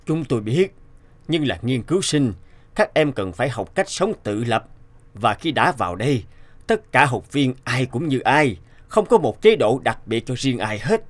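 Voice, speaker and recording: An actor playing a middle-aged institute official in a cartoon, formal, firm and measured. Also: male voice, medium pitch, big pitch swings. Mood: firm, polite.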